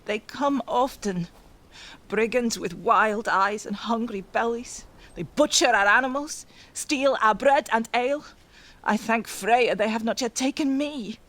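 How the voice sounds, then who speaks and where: A woman playing a shrewd, sharp-tongued farmer's wife in a Viking video game, persuasive and wry in her delivery. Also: fairly high pitch, a little slow. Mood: grim. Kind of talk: dramatic video game acting.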